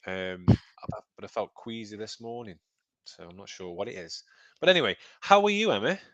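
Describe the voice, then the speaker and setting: A man's voice: fairly low pitch, big pitch swings, normal speed, a bit bright, dull audio. Chatty English man with a stuffy nose. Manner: joking, chatty. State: slightly nasal from a cold. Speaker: podcast co-host. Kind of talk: casual chat.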